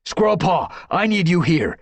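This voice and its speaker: A man's voice: fairly low pitch, animated, brisk, breathy edge, dry recording, pitched above the performer's own voice. A man voicing a commanding tomcat clan leader who gives orders.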